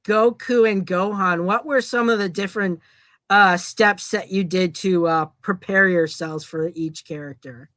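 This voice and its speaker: A man's voice: high-pitched, steady tone, measured pace, fairly bright tone, no room sound. Canadian man interviewing, dry close sound. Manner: friendly, hesitant questions with fillers. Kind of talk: casual interview chat.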